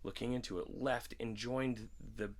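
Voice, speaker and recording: A man's voice: mid-range voice, steady tone, normal speed. A man hosting a podcast in a casual, informal conversational way. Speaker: podcast host. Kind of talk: casual chat.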